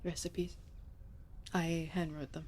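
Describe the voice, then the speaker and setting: Low-voiced heartbroken woman. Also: little pitch movement, slow pace, a little mellow, slightly rough, exaggerated voice. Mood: tender, awkward. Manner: emotional, pleading, echoing the other's words. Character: heartbroken, sentimental young woman clinging to her departing lover. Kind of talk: audio drama acting.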